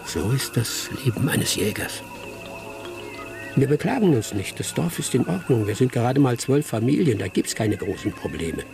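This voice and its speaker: A wry village hunter in his seventies as narrator of a radio play. His middle-pitched voice is gravelly and swings expressively. Music plays behind him. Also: sing-song, normal speed. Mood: wry storytelling. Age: older. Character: old village hunter, 74, wry storyteller of the bush. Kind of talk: radio drama first-person narration.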